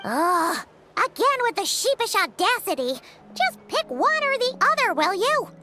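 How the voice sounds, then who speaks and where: A tiny fairy-like girl sidekick from a video game. The pitch is sky-high and swoops with every exclamation. The temper is cheeky and blunt, over loud backing music. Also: sing-song, unhurried, heavily acted. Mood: exasperated. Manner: refers to self in third person, exclaiming.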